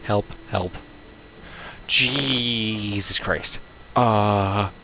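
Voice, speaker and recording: A man's voice: lowish voice, steady tone, drawn-out words, bright, forceful tone, a little hiss or hum. A man giving casual, baffled, sweary commentary as he plays through a visual novel. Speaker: gamer playing a visual novel. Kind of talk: casual playthrough commentary, reading game text aloud.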